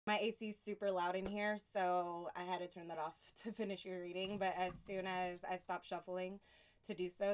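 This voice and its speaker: Smooth-voiced woman giving a relaxed tarot reading. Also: on the low side. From Houston. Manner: soft, unhurried, reflective delivery with pauses. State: sniffly from allergies. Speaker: tarot reader.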